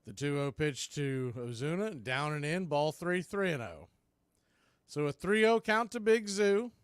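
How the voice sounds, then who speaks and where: A man's voice: a little high, lively intonation, unhurried, clear, resonant tone, no echo, studio quality. An American man calling live baseball play-by-play in a folksy, partisan home-team style. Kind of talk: live radio baseball commentary.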